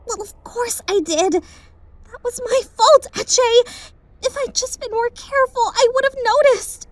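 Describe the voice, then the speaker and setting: A woman voicing a young adventurer girl in an amateur audio drama, anxious, emotional and devoted to a friend, quick to blame herself. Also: very high-pitched, expressive, no echo. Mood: guilty, earnest. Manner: emotional, earnest, with sighs. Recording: loud music behind.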